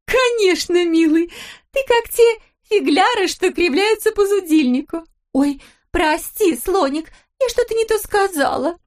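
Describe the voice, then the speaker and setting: Woman doing a cooing, shrill brain-eater wife. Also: expressive, unhurried. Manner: cooing, fond pet names. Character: honeyed, cooing brain-eating wife, sweet and silly.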